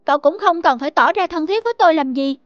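Gloomy high-voiced cartoon dog. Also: female voice, lively intonation, quick pace, no echo, muffled recording. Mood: bitter, dejected. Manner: sullen, self-pitying. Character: gloomy, prickly blind dog. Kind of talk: dubbed cartoon acting.

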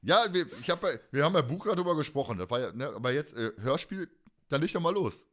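A man's voice: a little high, lively intonation, fast talker, a bit bright. Man chatting casually as a podcast co-host. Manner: rambling, repeats phrases, colloquial. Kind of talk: casual podcast chat, recapping an audio drama.

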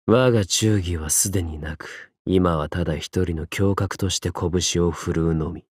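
Young male martial artist assassin, roguish, easygoing, cocky game-character swagger, highly animated pitch, slow pacing. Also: no room sound, clean studio sound. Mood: wry, resigned. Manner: cocky, theatrical, casual swagger. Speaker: voice actor. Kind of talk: anime mobile game character lines.

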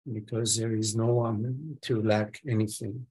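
A man leading a guided meditation as a teacher, giving gentle instructions in sparse phrases with long pauses between them. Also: fairly low pitch, little pitch movement, breathy edge, low-quality recording.

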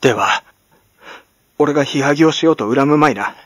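A man playing a stern, menacing young manservant in a storybook reading, speaking forcefully and with scorn. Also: fairly high pitch, brisk, no echo, studio quality, voice pushed up, close to an everyday voice. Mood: menacing, biting.

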